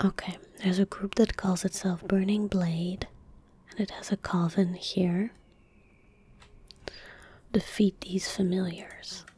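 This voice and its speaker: Soft breathy asmr girl, young, super slow whispery gaming chat, mellow. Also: mid-range voice. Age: young adult. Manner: calm, gentle, unhurried. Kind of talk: soft-spoken ASMR, casual gameplay commentary. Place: small indoor room at a desk. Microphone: close desk microphone.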